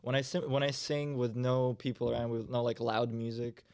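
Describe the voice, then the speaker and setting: A young man from Russia talks through his band's story in loose, filler-heavy podcast chat. His voice sits in an ordinary middle register with a gravelly, fry-edged texture. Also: mid-range voice, fairly even intonation, darkish tone. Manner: loose and chatty, many fillers, quick laughs. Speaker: band guitarist and singer, podcast guest.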